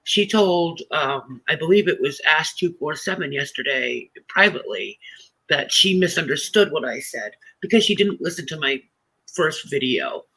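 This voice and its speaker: A woman on a live stream panel, defending herself and rushing through her account. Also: deep voice, animated, pushed and bright, breathy edge, poor audio.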